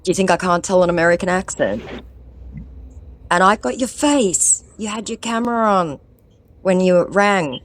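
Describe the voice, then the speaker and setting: A woman's voice: lowish voice, expressive, normal speed, long pauses. A woman with an ear infection, mocking a phone scammer in a sarcastic, confrontational way. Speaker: scam-baiting vlogger. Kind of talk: confronting a phone scammer, then chatting to viewers.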